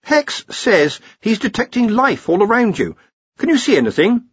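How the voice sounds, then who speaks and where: A man's voice: high voice, big pitch swings, normal speed, husky, no room sound, highs cut off, pitched above the performer's own voice, full character voice. A man voicing an earnest, apologetic young male wizard in an audiobook, explaining things with hesitant deference. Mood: nervous, coaxing. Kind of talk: audiobook character voice.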